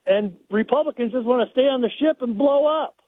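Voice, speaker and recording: A man's voice: extremely high pitch, a little fast. A man calling in to a radio show, blunt and rambling, with a joking edge. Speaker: caller. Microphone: phone line. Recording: tinny phone line.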